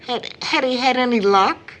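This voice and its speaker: A woman playing a weary, loving mother of sixty in an audio drama, speaking gently and reflectively. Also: a little high, moderate pace, a little hiss or hum, natural voice, low-quality recording. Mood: resigned.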